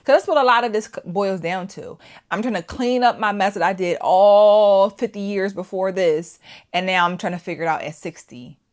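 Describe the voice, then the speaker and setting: A woman's voice: medium pitch, moderate pace. A woman hosting a casual opinion vlog, talking emphatically and repeating her points with rhetorical questions. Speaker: YouTube channel host. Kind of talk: casual opinion commentary to camera.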